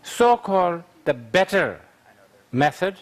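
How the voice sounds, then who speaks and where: A man answers questions at a Buddhist lecture, his voice sitting a touch high. He talks unhurriedly, thinking aloud with frequent fillers. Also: sing-song, takes it slowly.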